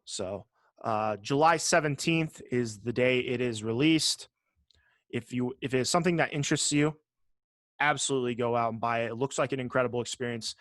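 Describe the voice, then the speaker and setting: A man co-hosting a gaming podcast in casual chat, joking and sarcastic, swearing freely and often halting to rephrase. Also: mid-range voice, fairly bright tone, no echo. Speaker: podcast co-host.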